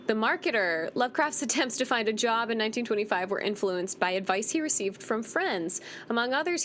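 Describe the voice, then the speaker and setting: A woman's voice: fairly high pitch, a bit bright, slight background noise. A woman hosting a game playthrough, reading text aloud and commenting on it in a casual, wry, playful way.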